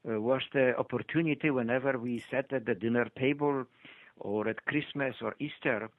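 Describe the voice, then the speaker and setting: Older man, Polish accent, hesitant with many fillers, mid pitch, tinny phone line, dry sound. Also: moderate pace, no room sound. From Poland. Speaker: interview guest, doctor. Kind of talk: conversational interview storytelling.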